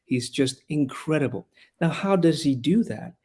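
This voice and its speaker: A man's voice: on the high side, animated, a little slow, a little mellow, husky. A man from Honduras, a guest on a podcast interview who teaches rhetoric and speaks earnestly and with passion, often restarting his phrases. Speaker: guest, classical school leader and rhetoric teacher.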